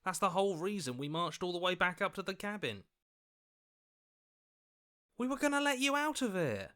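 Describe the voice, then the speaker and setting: A man's voice: high voice, big pitch swings, moderate pace, pushed and bright, clear, resonant tone, exaggerated voice. A man playing an anxious, earnest hero in a video game, questioning and pleading like a voice of conscience. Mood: indignant, pleading. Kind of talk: video game character voice acting.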